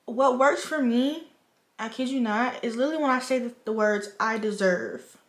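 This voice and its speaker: A woman in her twenties from the Virgin Islands talks straight into the camera at her vanity, frank and sisterly, and swears freely. Her voice sits a little high. The room gives off a clear echo. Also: normal speed. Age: 20s. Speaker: vlogger. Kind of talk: casual chat while doing makeup.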